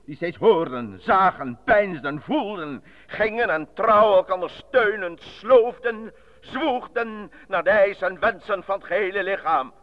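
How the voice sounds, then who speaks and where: A man playing an anxious, apolitical stage actor in a Dutch radio drama, with a theatrical manner that turns halting under nerves. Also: high voice, dry recording. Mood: grand declaiming. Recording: dull lo-fi radio drama recording.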